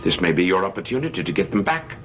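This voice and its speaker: An actor playing a courteous, scheming gentleman in a gothic television soap, with a suave, formal, theatrical manner. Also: low voice, very animated, fast. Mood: insistent, persuasive. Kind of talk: 1970s gothic TV soap opera acting. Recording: old TV soundtrack with music underneath.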